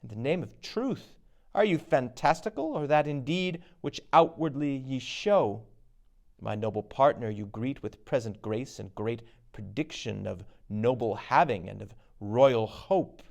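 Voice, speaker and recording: A man acts a noble warrior in a classic stage tragedy, bold and full of questions. His declamation is animated in pitch yet unhurried, with some creak in the voice. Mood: challenging. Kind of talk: dramatic Shakespeare reading.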